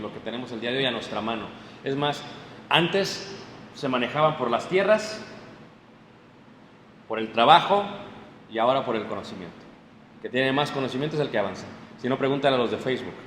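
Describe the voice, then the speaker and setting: A man preaching a lively sermon to his congregation, often stopping to check they follow. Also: mid-range voice. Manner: animated, addresses the congregation directly with frequent checks. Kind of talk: sermon, Bible class. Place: church hall. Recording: echoey hall, faint room noise.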